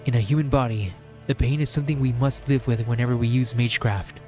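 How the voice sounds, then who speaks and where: A male streamer reads fantasy fiction aloud in a solemn, measured way. His pitch sits in the middle for a man, and he delivers short phrases as dramatic narration. Faint music plays behind him. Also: steady tone, darkish tone. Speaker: streamer reading a visual novel aloud. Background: background music.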